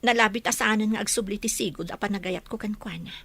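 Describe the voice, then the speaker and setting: A woman's voice: mid-range voice, quick pace, vocal fry, fairly bright tone, slightly rough. Subdued, sorrowful wife played by a woman. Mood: sad, resigned. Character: sorrowful wronged wife and mother. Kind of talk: Filipino radio drama acting.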